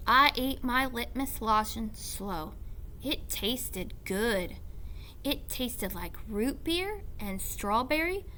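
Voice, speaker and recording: A woman reads a children's story aloud like a teacher. Her high, bright voice moves deliberately and swoops expressively through the narration. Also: a little hiss or hum. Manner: deliberate, animated.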